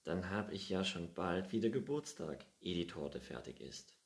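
A man's voice: low-pitched, expressive, moderate pace, roomy sound, voice pushed up. A man voicing an impatient, easily offended young male cat who meows while complaining in a storybook reading. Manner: sulky, offended replies with meows.

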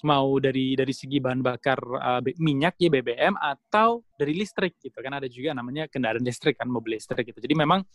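A man's voice: medium pitch, quick pace, fairly bright tone, no room sound. A young man from Indonesia, a guest in an interview, explaining at length with many fillers and restarts. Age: young adult. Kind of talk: casual interview talk.

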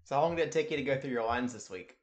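Young man, mid-pitched, laid-back teasing podcast co-host. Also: rapid-fire. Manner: teasing, easygoing. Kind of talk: casual sports chat.

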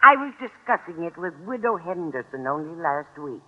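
A woman's voice: fairly low pitch, big pitch swings, normal speed. An actress playing a chatty, naive, scatterbrained older landlady in an old-time radio sitcom. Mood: earnest, puzzled. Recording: dull, noisy old radio recording.

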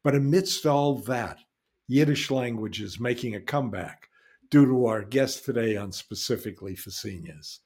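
A man's voice: medium pitch, husky. A male podcast host reading a formal, scripted introduction of his guest, then asking questions. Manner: formal, announcer-like delivery.

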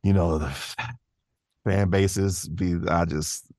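A man with a low-pitched voice talks casually as an interview guest. He sounds earnest and enthusiastic, phrasing things in a loose, slangy way. The sound is dry, with very little room in it. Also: steady tone, takes it slowly, a little mellow, no room sound. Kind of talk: casual conversation.

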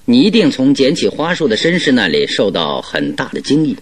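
Give the detective a lively, wide-ranging delivery with a husky edge. He is shrewd, genial and self-assured, laying out deductions expansively as in a Mandarin radio play. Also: mid-range voice, a little fast. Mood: confident, explanatory. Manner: chuckling, expansive, leisurely explaining his deductions. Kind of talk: Mandarin radio drama acting.

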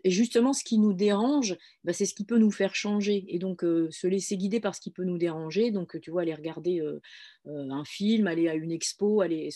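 A woman's voice: on the low side, brisk, mellow. A woman hosting a casual conversation, speaking in an encouraging, thoughtful way.

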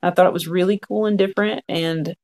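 A man's voice: high voice, fairly even intonation, fairly quick, darkish tone. A man in his forties, a podcast guest, telling his story in a frank, earnest conversational way. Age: 40s. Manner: frank, earnest, quotes own past thoughts.

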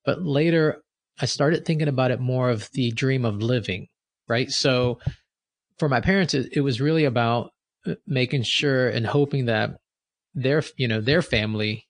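A man's voice: medium pitch, normal speed, no room sound. A man from New York hosting an interview, speaking in a reflective, personal way. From United States (New York). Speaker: podcast host. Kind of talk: interview conversation.

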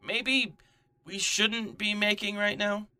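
Radio comedy: male actor as fussy, pompous storyteller, bright forward tone, slightly high, chatty delivery. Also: fairly even intonation, takes it slowly, clear, resonant tone, heavily acted. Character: pompous, fussy bald storyteller, a preening diva. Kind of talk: comedic fan radio drama acting.

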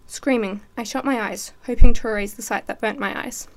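A woman's voice: on the high side, fairly even intonation, fairly quick. A teenage girl giving a dramatic reading of a first-person story as a student writer.

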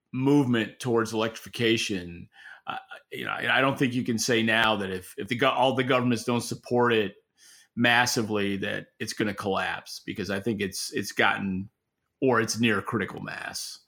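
A man's voice: on the low side, creaky, sharp tone. A man speaking as a podcast co-host, giving casual, opinionated market commentary in an emphatic way. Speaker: podcast co-host and industry analyst.

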